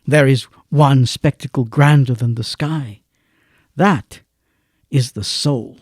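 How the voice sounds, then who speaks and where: An actor playing a grave storyteller, narrating a radio drama in measured, declamatory phrases. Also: male voice, medium pitch, darkish tone, dry recording, clean studio sound. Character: grave literary storyteller narrating a moral tale.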